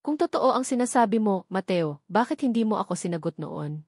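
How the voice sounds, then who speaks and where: A woman's voice: mid-range voice, expressive, fairly quick, a little mellow, husky, dry recording, clean studio sound. A woman voicing a hurt, angry, protective young mother in a narrated love story, emphatic and accusing.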